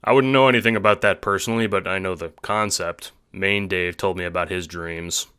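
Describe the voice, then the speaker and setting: A man playing a laid-back, slangy teenage boy in an audio drama, talking in a terse, deadpan, casual way. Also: sits low, fairly quick, clean tone, no echo, studio quality. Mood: cool, matter-of-fact.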